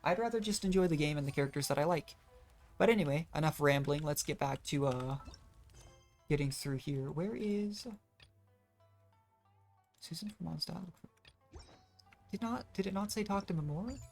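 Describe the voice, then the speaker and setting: A casual streamer with a mid-pitched voice thinks aloud while playing. The talk is rambling and self-deprecating, with video game music behind it. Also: normal speed, creaky, pauses often, darkish tone. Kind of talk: casual rambling gameplay commentary.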